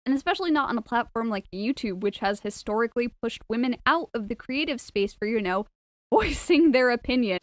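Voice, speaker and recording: A woman's voice: on the high side, lively intonation, brisk. A woman delivering scripted opinion commentary in a video essay.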